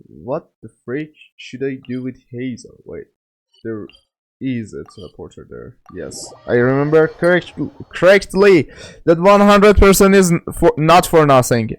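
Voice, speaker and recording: A man's voice: fairly high pitch, lively intonation, a little slow. A man giving casual gaming commentary, talking to himself in questions and half-finished thoughts. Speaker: gameplay streamer. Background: game music.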